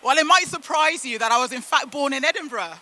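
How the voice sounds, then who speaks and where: A woman from the UK and Zimbabwe, a native Shona speaker, giving a motivational storytelling talk with deliberate phrasing and emphatic pauses. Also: high voice, pushed and bright. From United Kingdom and Zimbabwe. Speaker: keynote speaker at a business event.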